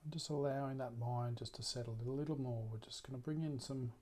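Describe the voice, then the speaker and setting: A man leading a guided meditation as a teacher, speaking gently and unhurriedly with long pauses. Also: medium pitch, fairly even intonation.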